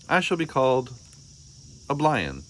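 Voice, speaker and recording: A man's voice: medium pitch, wildly swooping pitch, unhurried, fairly bright tone, a little hiss or hum, voice pushed up. A man voicing a stubborn, questioning young anteater boy in a children's picture book read-aloud. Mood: insistent, stubborn. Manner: insistent, questioning.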